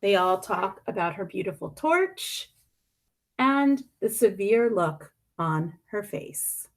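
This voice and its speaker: Animated woman reading aloud, creaky edge. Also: medium pitch. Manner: deliberate, dramatic emphasis with pointed questions and pauses. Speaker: media specialist reading a picture book aloud. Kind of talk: expressive picture-book read-aloud.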